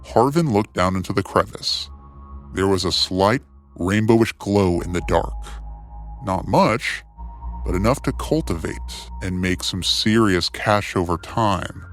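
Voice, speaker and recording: A man narrates an adventure tale with dramatic, scripted phrasing. His voice is fairly low, and faint music plays beneath it. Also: animated, measured pace. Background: soft music.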